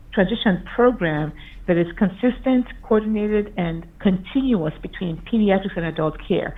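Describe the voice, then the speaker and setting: A woman physician giving an earnest, explanatory conference talk over slides from a video call. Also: lowish voice. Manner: earnest, explanatory, with occasional restarts. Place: remote video call presentation. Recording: tinny phone-like video call audio.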